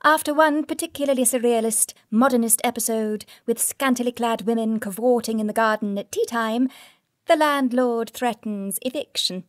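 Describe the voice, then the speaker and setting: An actress playing an irreverent, arch storyteller who narrates and comments in an audio drama. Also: female voice, fairly high pitch, moderate pace, dry recording, studio quality, close to an everyday voice. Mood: arch, amused. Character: wry, irreverent female storyteller commenting on a scandalous life.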